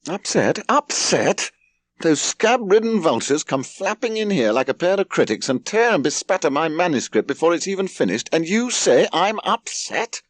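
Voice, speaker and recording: A man's voice: fairly high pitch, big pitch swings, normal speed, no echo, voice pushed up. A man voicing an exasperated young male writer in an audiobook, pompous, sarcastic and theatrically indignant. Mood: outraged.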